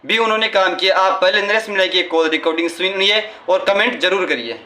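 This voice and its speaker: A man presenting improvised news commentary, halting often as he searches for words. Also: high voice, pushed and bright, reverberant. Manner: halting, with frequent hesitations.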